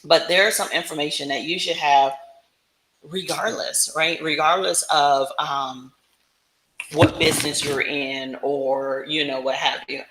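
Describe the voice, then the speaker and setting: A woman's voice: deep voice, steady tone, unhurried, fairly bright tone, a little hiss or hum. A woman giving casual instructional talk as a business coach, conversational and often checking in with the listener. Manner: conversational, frequent check-in tags like "right?". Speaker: business coach presenting a livestream. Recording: roomy, echoing room sound.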